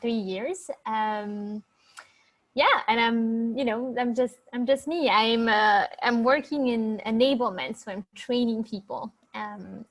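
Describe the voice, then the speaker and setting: Chatty French-accented woman, a bit high. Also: rough recording. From France. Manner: chatty, hesitant, laughs easily, many fillers. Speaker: interviewee. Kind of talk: casual video-call interview.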